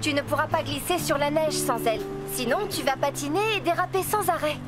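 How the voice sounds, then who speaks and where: A woman's voice: high-pitched, fairly quick, over music, natural voice. A woman playing an upbeat, confident young female character who instructs and teases, in a French cartoon dub. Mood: matter-of-fact.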